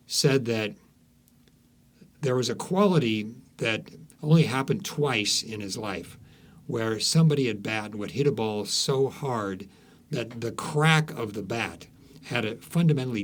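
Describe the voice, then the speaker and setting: A man speaking as an author guest in an interview, telling stories in a halting, emphatic way that repeats phrases. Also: fairly low pitch. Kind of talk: conversational storytelling interview.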